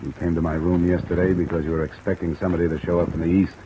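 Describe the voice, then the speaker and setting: An actor playing a cool, probing insurance investigator in a 1950s-style radio detective drama, speaking tersely, on an old recording. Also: male voice, low voice, little pitch movement, very fast, lightly acted. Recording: old radio recording with loud rain noise.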